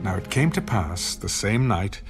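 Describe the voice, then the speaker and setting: Man, narrator, medium pitch, scripted biblical storytelling, audio drama, clear music bed. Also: big pitch swings, measured pace.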